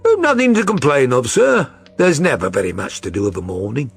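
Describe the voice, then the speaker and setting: A man's voice: medium pitch, sing-song, brisk, creaky, husky, soft background music, heavily acted. A man voicing an earnest, plodding middle-aged tradesman in an audiobook, telling his story in a deferential, rambling way. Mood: obliging, matter-of-fact. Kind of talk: audiobook character reading.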